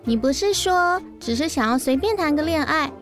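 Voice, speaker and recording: Little girl, very high pitch, cheeky, blunt, Taiwanese accent, radio drama. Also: expressive, moderate pace, faint music behind. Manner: blunt, teasing, childlike. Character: bold, cheeky young daughter with grown-up opinions. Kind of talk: Mandarin radio drama acting.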